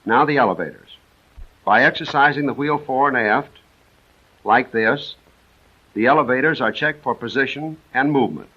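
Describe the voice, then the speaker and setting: An American man narrating a 1940s military training film in a measured, precise, instructional manner. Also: medium pitch, sharp tone, husky. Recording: dull vintage 1940s film soundtrack.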